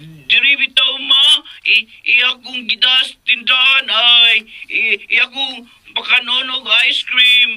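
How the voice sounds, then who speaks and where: A male radio drama actor playing a childlike, simple-minded man, excitable and guileless, who stammers and repeats his words. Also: extremely high pitch, takes it slowly, full character voice, low-quality recording. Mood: flustered.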